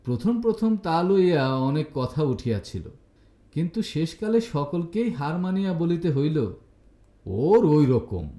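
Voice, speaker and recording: A man reads literary fiction as a story narrator. His voice is mellow and a little high for a man, with a roomy echo around it. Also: lively intonation, a little slow.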